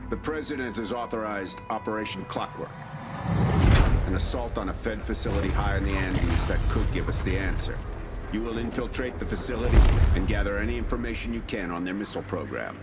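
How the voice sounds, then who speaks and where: An actor playing a grave military commander, solemn and authoritative, in a cinematic war game. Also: male voice, lowish voice, a little fast, close to an everyday voice. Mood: stern, authoritative. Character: grave male military commander narrating and briefing soldiers. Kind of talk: cinematic game narration and mission briefing. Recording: loud music under the voice.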